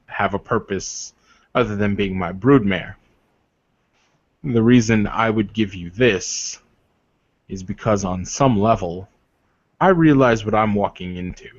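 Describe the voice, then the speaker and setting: A man playing a proud, commanding werewolf warrior leader in a tabletop game, speaking earnestly and formally. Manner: solemn, deliberate, formal phrasing. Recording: dull, roomy low-fidelity voice recording.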